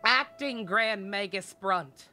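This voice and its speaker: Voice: medium pitch, takes it slowly, pushed and bright. A game streamer chatting casually and wryly, joking and swearing freely. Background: game music.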